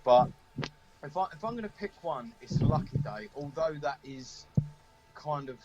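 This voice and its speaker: A man's voice: a little high, lively intonation, moderate pace, a little mellow. A man chatting casually as an interviewed band member, emphatic and self-deprecating. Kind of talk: casual chat. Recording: dull lo-fi call audio.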